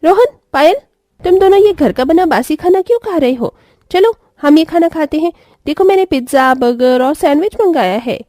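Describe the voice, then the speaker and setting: A woman's voice: high-pitched, animated, normal speed, mellow, no room sound, clean studio sound. A woman voicing an impulsive young aunt and daughter-in-law, emphatic and dramatic, in a moral story. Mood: eager, coaxing. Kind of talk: acted moral story dialogue.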